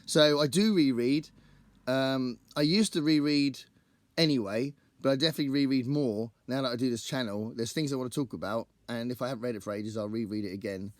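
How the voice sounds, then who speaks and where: Croaky-voiced man chatting about books. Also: mid-range voice, a little fast, no echo. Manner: chatty, rambling, thinks aloud. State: croaky voice after a singing gig. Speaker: book channel host. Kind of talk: casual to-camera book chat.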